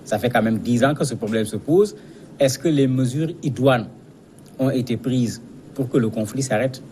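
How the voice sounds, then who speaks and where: A man's voice: medium pitch, moderate pace, warm tone, slight background noise. A man giving explanatory commentary as a guest analyst in a news interview, speaking didactically and repeating words as he searches for phrasing.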